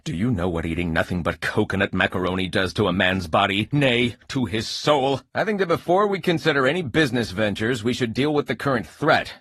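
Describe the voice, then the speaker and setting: An earnest, oddball video game superhero, speaks with stilted, solemn grandeur. The voice is husky and swings widely in pitch, as if every line were a proclamation. The sound is close and dry. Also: male voice, expressive, fairly quick, hardly any pauses, fairly bright tone, dry recording. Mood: puzzled. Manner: pompous, stilted, solemnly dramatic heroic delivery. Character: earnest, eccentric male laser-eyed superhero, formal and oddly literal. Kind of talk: video game character voice acting.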